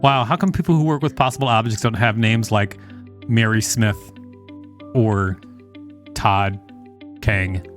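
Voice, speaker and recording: A man's voice: on the low side, steady tone, unhurried, long pauses. Man co-hosting, reading aloud over music. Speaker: podcast co-host. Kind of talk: reading an encyclopedia article aloud with casual asides.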